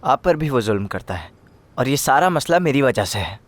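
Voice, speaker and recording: A man's voice: medium pitch, big pitch swings, normal speed. A man playing a wronged, determined young son in a dubbed drama, speaking earnestly. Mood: determined, pained. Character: resolute, wronged young son protective of his mother.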